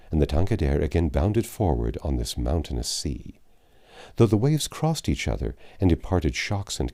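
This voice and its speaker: A man reading a classic adventure novel aloud as a storybook narrator, with a measured, dramatic storytelling delivery. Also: rumbling low, dark tone. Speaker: audiobook narrator.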